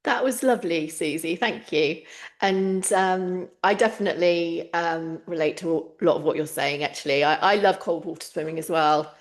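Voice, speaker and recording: A woman hosts a podcast interview in a slightly low, gentle voice. She pauses often and fills the gaps with soft ums. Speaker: podcast host.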